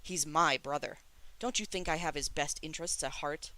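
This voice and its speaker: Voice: mid-range voice, moderate pace, sharp tone, lightly acted. A reader voicing a stern, domineering elder-brother god, curt and furious, in an audiobook. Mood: curt, commanding. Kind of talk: dramatic audiobook character reading.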